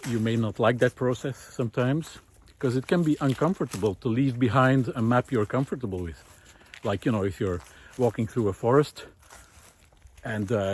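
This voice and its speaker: A man giving an unscripted, reflective monologue while out walking, thoughtful and hesitant, pausing often as he finds his words. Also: medium pitch, a little mellow, a little hiss or hum. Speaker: host of a walking audio diary, former teacher. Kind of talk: unscripted reflective spoken monologue recorded on a walk. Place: outdoors, walking.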